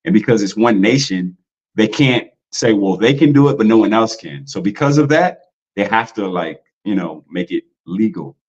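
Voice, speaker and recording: A man's voice: lowish voice, expressive, normal speed, darkish tone, muffled recording. A man in a casual podcast talk, loose and slangy, full of "like" and "you know". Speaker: podcast guest.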